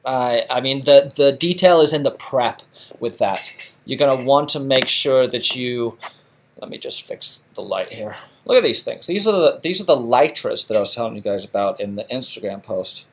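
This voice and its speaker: A man's voice: medium pitch, lively intonation, normal speed. A middle-aged man from England hosting a live stream, chatting casually with lots of fillers and restarts. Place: home garage.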